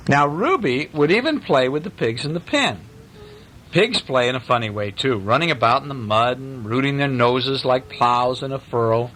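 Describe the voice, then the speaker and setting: A man reading a storybook aloud to children in a playful, animated way, with asides to his young listeners. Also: mid-range voice, a little hiss or hum, rough recording. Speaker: parent reading a bedtime story.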